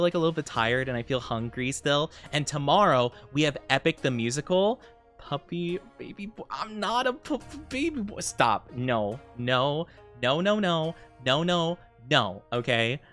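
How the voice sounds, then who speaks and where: A man's voice: medium pitch, a bit bright. Playful, mock-offended young-sounding male streamer. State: losing his voice. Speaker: VTuber streamer. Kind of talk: casual live-stream chat with viewers.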